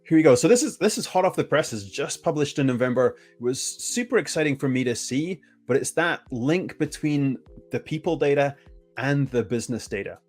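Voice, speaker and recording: A man's voice: mid-range voice, fairly quick, faint music behind. A man whose first language is English, giving a conference presentation with energy and often restarting his phrases. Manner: energetic, self-correcting, frequent restarts. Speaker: conference speaker.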